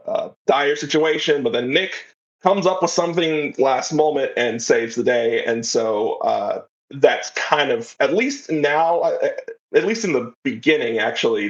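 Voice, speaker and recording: A man speaks in a medium-pitched voice with a casual, conversational delivery. He explains at length, wandering enthusiastically from point to point as an interview guest. The recording is a little dull and lo-fi. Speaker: interview guest, writer of an audio drama.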